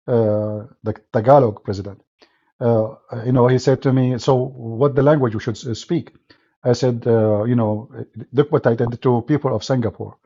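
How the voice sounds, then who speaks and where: Husky-voiced man hosting a livestream. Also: medium pitch, warm tone. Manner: mocking, sarcastic, non-native English phrasing. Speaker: livestream host. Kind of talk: livestream talk with callers. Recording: dull lo-fi stream audio.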